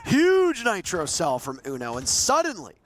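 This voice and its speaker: A man casting live esports play-by-play, excitable, his voice jumping up at big plays. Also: sits high, measured pace, a little hiss or hum. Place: broadcast desk at a live event.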